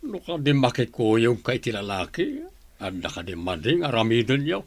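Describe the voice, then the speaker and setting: Gravelly stern father. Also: mid-range voice, sing-song, moderate pace. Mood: stern, cautioning. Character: stern but caring father of a student. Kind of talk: audio drama acting.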